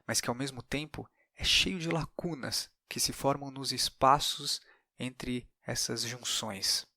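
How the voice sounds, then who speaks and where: A man's voice: mid-range voice, bright, forceful tone, dry recording, studio quality. A Brazilian man hosting a podcast, moving between reflective narration and interview talk. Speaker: podcast host.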